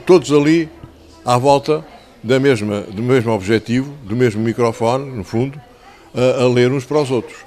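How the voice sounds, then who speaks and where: An older man with a European Portuguese accent and a slightly hoarse voice, talking to schoolchildren as an author, conversational and didactic, repeating phrases for emphasis into a handheld microphone. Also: medium pitch, a little mellow. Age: 60s or older. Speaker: children's author. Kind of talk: talking and telling stories to schoolchildren. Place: covered outdoor tent in a town square.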